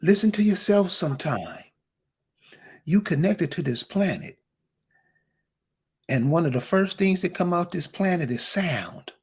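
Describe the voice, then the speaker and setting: Blunt husky-voiced host. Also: male voice, sits high, very animated, measured pace, pauses often. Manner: blunt, emphatic, swears. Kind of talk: casual online discussion.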